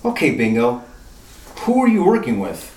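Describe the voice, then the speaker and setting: A woman's voice: a bass voice, sing-song, moderate pace, dark tone, far from the mic. A woman voicing a breezy, wisecracking male detective in an amateur retro radio drama. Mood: probing. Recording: echoey room, off mic, faint noise.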